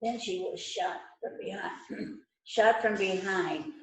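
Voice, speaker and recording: An older woman of average pitch reads a detective part in an amateur play practice. Her voice comes from a little way off the mic in an echoey room. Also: slow, far from the mic. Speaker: amateur readers theater participant.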